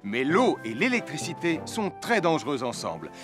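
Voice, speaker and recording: A friendly cartoon fireman giving safety lessons. His voice sits high and swings with animation. Every phrase is emphatic and instructive, pitched at children. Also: sing-song, moderate pace, over music. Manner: clear, didactic, emphatic. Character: friendly, instructive firefighter hero, adult man. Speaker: presenter.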